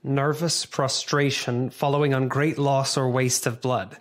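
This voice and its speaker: An actor playing an earnest, sceptical doctor in a gothic audio drama, speaking in a measured, solemn way. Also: male voice, mid-range voice, little pitch movement, close to an everyday voice. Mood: sceptical, wary. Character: earnest male doctor recounting horror, sceptical, sorrowful. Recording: music behind the voice.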